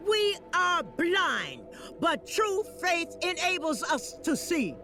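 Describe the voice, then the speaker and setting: An actress voicing a preachy woman who cries doom and shouts warnings in a game cutscene. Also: very high-pitched, big pitch swings, slow, soft background music, full character voice. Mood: preaching doom. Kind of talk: game voice acting.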